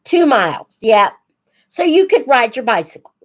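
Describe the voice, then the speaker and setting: An older woman chatting casually as a live host for young viewers, in an unhurried, reflective, folksy manner. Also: a little high, very animated. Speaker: host of a children's book read-aloud channel.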